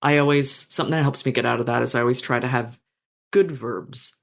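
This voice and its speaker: An author being interviewed, talking casually and reflectively about craft in a halting, thoughtful way with some slang and swearing. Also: medium pitch, fairly even intonation, vocal fry.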